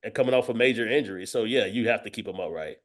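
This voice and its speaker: A man's voice: lowish voice, racing. A man giving casual football analysis as a guest, emphatic, often repeating and rephrasing as he goes. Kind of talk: casual sports talk with analysis.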